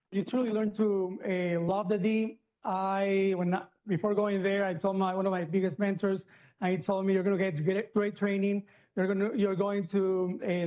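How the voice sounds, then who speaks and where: Man, from Peru, middle-aged, surgeon, high voice, medical lecture, chatty with fillers. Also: darkish tone. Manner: chatty, many fillers and restarts.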